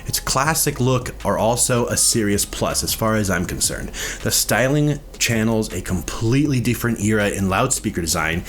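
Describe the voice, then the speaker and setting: A man's voice: lowish voice, expressive, normal speed, a little hiss or hum. A man reading a scripted product review as a narrator and reviewer.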